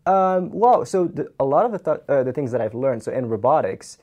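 A young man from Suriname, a native Dutch speaker, calmly explaining his startup work in an interview. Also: medium pitch, brisk. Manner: relaxed, explanatory, frequent um fillers. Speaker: startup founder. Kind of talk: interview answers.

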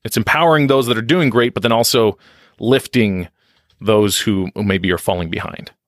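A man's voice: lowish voice, normal speed, no room sound. Reflective, self-deprecating man, dry close sound. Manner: reflective, self-deprecating, frequent restarts and stammers. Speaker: podcast guest on leadership and success. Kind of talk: candid conversational interview.

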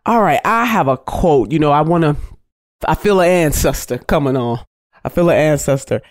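A woman's voice: deep voice, lively intonation, moderate pace, no echo. Woman podcast host, nasal from a cold, emphatic and preacherly, dry sound. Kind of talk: casual podcast interview.

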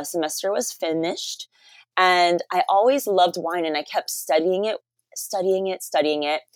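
A woman's voice: fairly low pitch, no echo. A young adult American woman from Hawaii, talking as an interview guest and telling stories in a conversational way. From Hawaii, United States. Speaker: podcast guest, sommelier.

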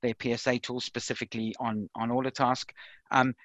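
A man's voice: medium pitch, level tone, brisk, fairly bright tone, dry recording. A middle-aged man with a South African accent, a podcast guest talking casually with many fillers and restarts. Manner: lots of fillers and repeated words. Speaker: podcast guest, software consultant. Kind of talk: casual interview chat.

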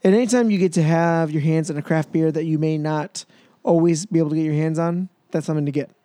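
A man's voice: a little high, steady tone, fast, dark tone, no echo, clean studio sound. A man in his twenties hosting a casual podcast, talking loosely and laughing easily. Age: 20s. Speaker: podcast host.

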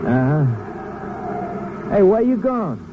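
An actor playing a plain-spoken, grumbling returned soldier riding as a passenger in an old radio drama. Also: male voice, sits high, very animated, takes it slowly, exaggerated voice. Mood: wary, curious. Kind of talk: 1950s radio drama acting. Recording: tinny old radio recording, vehicle noise.

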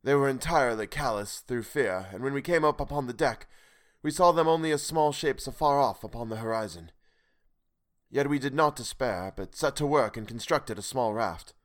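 A man voicing a weary older male castaway who speaks from a boat in the dark, with halting, broken pauses. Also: mid-range voice, voice pushed up, natural voice. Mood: solemn.

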